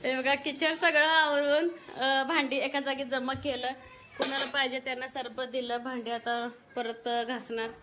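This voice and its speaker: A woman's voice: high-pitched, little pitch movement, normal speed. A woman with a rural Maharashtrian accent narrating a casual home vlog, chatting and giving instructions to children and family. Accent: rural Maharashtrian Marathi. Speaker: family vlogger. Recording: clear background noise, home recording.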